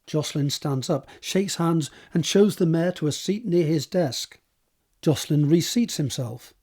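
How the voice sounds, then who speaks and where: A man narrating an audio drama, reading scene descriptions and stage directions in a measured, descriptive way. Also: a little high, expressive, a little mellow.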